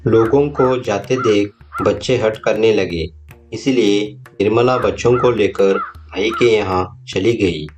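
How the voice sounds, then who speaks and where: A man tells a moral story from a script. His voice is fairly low, and he speaks as the narrator. Music plays behind him. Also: slow pace.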